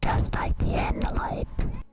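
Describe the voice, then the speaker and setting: A man voicing an excited boy in a cat's body, speaking his thoughts in a breathy whisper, slow and eager, for a storybook. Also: close to an everyday voice. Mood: hushed wonder. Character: boy morphed into a cat, excited thought-speech. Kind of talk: storybook character voice over music. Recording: whispered voice under loud music.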